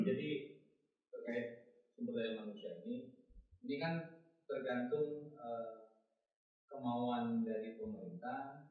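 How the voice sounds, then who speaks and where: Brief: male academic guest on a panel, hesitant and word-searching, echoey dull-sounding studio. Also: mid-range voice. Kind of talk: panel discussion.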